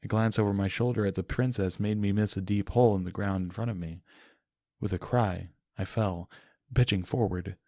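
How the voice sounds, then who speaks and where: A man's voice: fairly low pitch, fairly even intonation, moderate pace, warm tone, no room sound, studio quality. A man narrating a first-person story as a dramatic audiobook reading.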